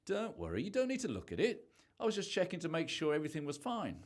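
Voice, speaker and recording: A man's voice: a little high, wildly swooping pitch, fast talker, very noisy. A man in an amateur radio play acting a defensive, sarcastic husband who is hiding something. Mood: evasive, nervous. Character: evasive, irritable husband hiding a secret.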